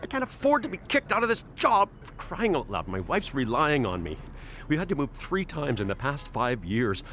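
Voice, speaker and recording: An actor playing an anxious, rule-bound middle-aged tour guide in a radio play, talking fussily and with exasperation. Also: male voice, a little high, wildly swooping pitch, moderate pace, pushed and bright, slight background noise, exaggerated voice.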